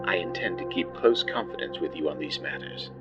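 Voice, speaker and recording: Man playing a deep, pompous, scheming colony boss. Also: fairly quick, natural voice. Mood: scheming, ambitious. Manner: theatrical, formal, grandiloquent. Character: ambitious, pompous male colony administrator, scheming and florid. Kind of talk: audio drama message monologue. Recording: dull lo-fi audio under music.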